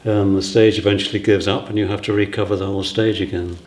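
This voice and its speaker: A man's voice: fairly low pitch, little pitch movement, fast talker. A man explaining things casually in an interview, recorded in an echoey room. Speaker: theatre member being interviewed.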